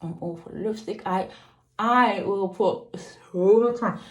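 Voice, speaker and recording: A middle-aged woman with a slightly croaky voice, casually chatting to camera as a lifestyle vlogger, rambling off on tangents. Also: medium pitch, slow pace. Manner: rambling, digresses and apologizes. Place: home. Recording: echoey room.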